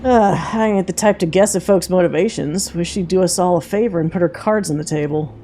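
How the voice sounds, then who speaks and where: A woman's voice: on the low side, brisk, dark tone, slight background noise, lightly acted. A woman voicing a plainspoken, protective female hunter from a video game, with folksy, homespun grammar. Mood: frank, probing. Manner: folksy drawling diction, dropped grammar.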